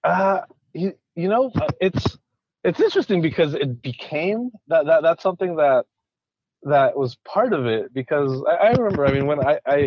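A man's voice: on the high side, animated, normal speed, no echo, dull audio. A man from Ecuador, a conservationist guest, talking casually in an interview with many restarts and repeated words.

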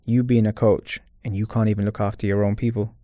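A man's voice: lowish voice, level tone, a little fast, warm tone, dry recording, clean studio sound. A man speaking as an interview guest, talking earnestly and reflectively about his personal life.

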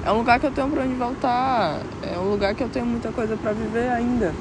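A woman's voice: fairly high pitch, quick pace, background noise. A young woman vlogging outdoors in a casual, slang-filled reflective chat, speaking directly to her viewers. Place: outdoors on a clifftop by the beach.

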